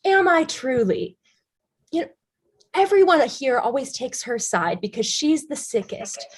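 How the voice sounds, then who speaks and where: A woman's voice: sits high, very animated, measured pace, breathy edge, exaggerated voice. A woman playing a bitter, combative young woman in a treatment group in a staged play reading, blunt, sarcastic and profane. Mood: defensive, angry.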